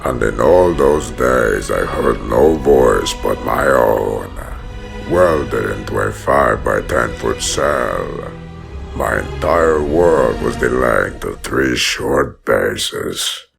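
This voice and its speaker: A man playing a brooding, menacing prison-hardened villain who narrates his origin in a gravelly, solemn, deliberate voice for a comic dub. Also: extremely low pitch, expressive, full character voice. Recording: music behind the voice.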